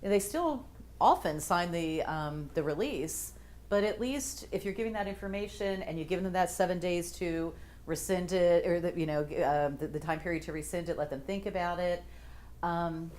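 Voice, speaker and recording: Low-voiced woman co-presenting a seminar. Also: normal speed, darkish tone. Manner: thoughtful, hedging, uses many fillers. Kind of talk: seminar talk.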